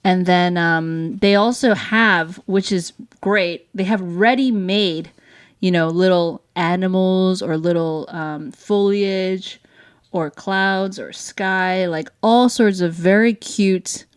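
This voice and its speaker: A woman's voice: on the low side, takes it slowly, smooth, no room sound, clean studio sound. A woman from the United States, co-hosting a casual explanatory chat in an agreeable way. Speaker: co-host.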